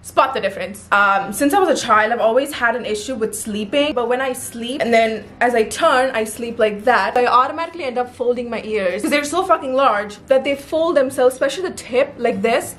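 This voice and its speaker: A woman's voice: a little high, a little fast, hardly any pauses, fairly bright tone, soft background music. A young woman in her twenties with an Arabic-influenced accent, talking crudely and jokingly to camera in her bedroom. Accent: Arabic-influenced English. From Middle East. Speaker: vlogger. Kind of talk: casual vlog chat. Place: bedroom, indoors.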